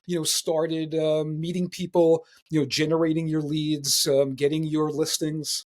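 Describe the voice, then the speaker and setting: Higher-voiced man hosting an interview. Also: level tone, measured pace. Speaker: interview host.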